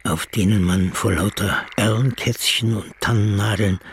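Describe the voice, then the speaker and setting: A man's voice: on the low side, steady tone, moderate pace, a little hiss or hum. A man reading scripted literary prose as a narrator.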